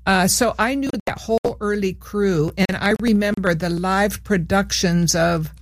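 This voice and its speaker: A woman's voice: medium pitch, measured pace, dry recording. Older woman from Peru, guest on a radio talk show. Chatty, laughs easily, restarts sentences. Close, dry studio mic. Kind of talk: casual reminiscing chat.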